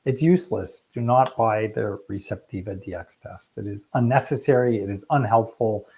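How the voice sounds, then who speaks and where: A man's voice: on the low side, normal speed, a little mellow. A middle-aged man, a fertility specialist hosting a live question-and-answer stream, talking bluntly and casually and laughing easily. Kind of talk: live Q&A chat.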